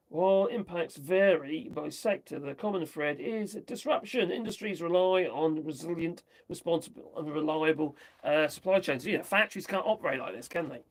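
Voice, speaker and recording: A man from the UK, chatting his way through the news on a livestream, rambling and tossing in tag questions, his voice going hoarse. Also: a little high, moderate pace, rough recording. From United Kingdom. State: losing his voice. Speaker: livestream host. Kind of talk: casual commentary on news.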